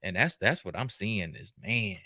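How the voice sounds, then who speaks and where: A man hosting a show, giving casual, unscripted commentary in an animated, joking, colloquial way. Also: on the low side, normal speed. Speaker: host reacting to a documentary.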